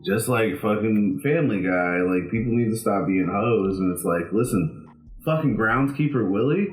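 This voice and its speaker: A man's voice: lowish voice, expressive, normal speed, roomy sound, rough recording. A young man from Florida co-hosting a comedy podcast, blunt and profane, laughing often. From Florida, USA. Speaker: podcast co-host. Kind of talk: casual comedy podcast banter.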